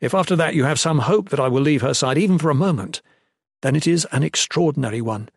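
A man's voice: a little high, lively intonation, fast talker, husky, no room sound, voice pushed up. A male reader plays a resolute young guardian in a fantasy audiobook. He is loyal and noble, speaking in firm, formal statements, with a dry, close sound.

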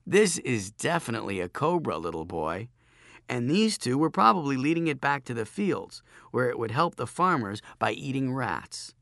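A man reads as a self-possessed young village girl in a storybook. She is calm and matter-of-fact, with a light mocking edge. The delivery is lively and the sound is dry. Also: medium pitch, normal speed, vocal fry, no room sound. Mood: calm, scornful.

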